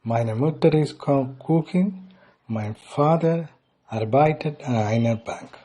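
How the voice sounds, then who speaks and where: A male language teacher with a mellow, slightly rough voice takes a beginner lesson at a slow pace. He sounds things out deliberately, piece by piece, in an instructional style. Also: mid-range voice.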